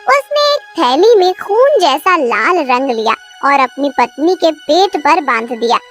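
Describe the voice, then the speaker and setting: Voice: high-pitched, very animated, a little slow, a bit bright. A narrator telling a scripted children's cartoon moral story, with children's music behind the voice.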